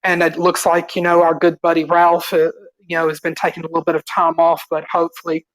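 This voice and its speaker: A man co-hosting a podcast, politely reading out show plugs in an informal, halting way. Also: a little high, completely flat delivery, no echo, low-quality recording. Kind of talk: informal announcement of show schedules.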